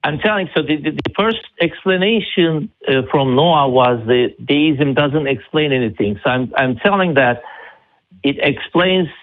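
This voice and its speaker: A man from Turkey with a Turkish accent, calling in to a live debate and making his point haltingly but persistently. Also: a little high, expressive. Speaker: caller. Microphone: phone call. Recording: narrow muffled phone line.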